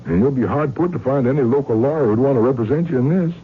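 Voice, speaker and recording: A man's voice: medium pitch, fast talker, husky. An actor playing a reluctant, dutiful small-town lawman in a radio drama, speaking in a folksy, hesitant manner. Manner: hesitant, folksy, drawling phrases. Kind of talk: old-time radio drama acting. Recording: muffled vintage radio with faint noise.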